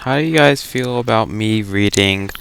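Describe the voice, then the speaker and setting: A young man chatting casually over a game and reading fan fiction aloud, halting and stumbling over words now and then. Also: on the low side, creaky, a bit bright, clean tone, no room sound.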